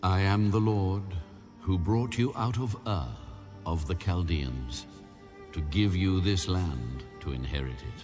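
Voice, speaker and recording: A man's voice: low voice, slow pace. A man playing a solemn, commanding God in a dramatized Bible reading, grave and authoritative. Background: music.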